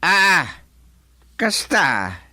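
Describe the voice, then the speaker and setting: A man's voice: high-pitched, very animated, drawn-out words, sharp tone, no room sound, exaggerated voice. A man acting an elderly, folksy, easily flustered village chairman in an Ilocano radio comedy drama, muttering and humming with an Ilocano Filipino accent. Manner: muttering to himself with hums and exclamations.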